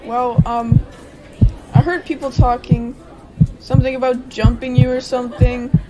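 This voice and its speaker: Woman as teenage boy, high voice, earnest, caring, amateur radio drama, music behind. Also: flat delivery, unhurried, lo-fi sound, close to an everyday voice. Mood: concerned. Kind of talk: amateur radio play acting.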